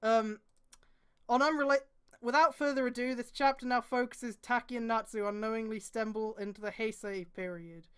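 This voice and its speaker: A woman's voice: fairly high pitch, fairly even intonation, normal speed, fairly bright tone, clear, resonant tone. A woman streamer reading aloud and adding exasperated, sweary mocking commentary.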